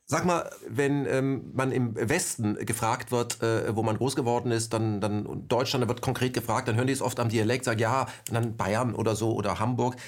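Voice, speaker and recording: A man's voice: medium pitch, a little fast, few pauses. A man hosting a radio interview, asking probing follow-up questions and circling back to keep a topic going.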